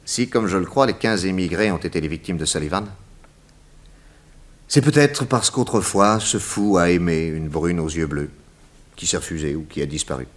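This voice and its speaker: Give a 1960s radio serial its detective boss. He is confident and professorial, with a lowish voice that rises and falls dramatically. He stresses key words and pauses for theatrical effect. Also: animated. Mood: dramatic, explanatory. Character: confident male detective boss explaining crimes to his assistant.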